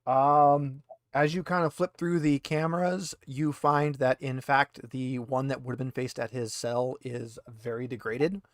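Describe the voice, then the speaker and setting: A man serves as game master for a tabletop game. He feels his way through the narration in a conversational, hesitant manner, with a mid-pitched voice in a very dry recording. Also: little pitch movement, a little slow, no room sound.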